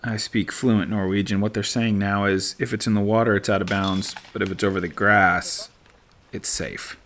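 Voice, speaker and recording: A middle-aged man giving relaxed play-by-play commentary as a voice-over, easygoing and dry, thinking aloud as he goes. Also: fairly low pitch, moderate pace, a little hiss or hum. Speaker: sports commentator. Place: voice-over, not at the course.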